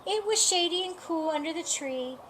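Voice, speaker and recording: A woman reads a children's picture book aloud in a high, bright voice. She takes her time and reads expressively, with birds chirping behind her. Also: lively intonation, normal speed, a little hiss or hum, poor audio. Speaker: storybook reader.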